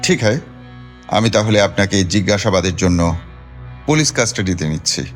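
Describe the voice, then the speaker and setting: A man's voice: lowish voice, animated, normal speed, music in the background, lightly acted. A man playing a stern police officer with a grave voice in a radio drama. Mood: stern, threatening. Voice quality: grave, solemn.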